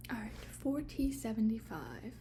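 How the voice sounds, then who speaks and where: A woman speaking in a soft, breathy whisper, playing a shop clerk in an unscripted, gently hesitant ASMR roleplay. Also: fairly high pitch, very animated, normal speed, slight background noise.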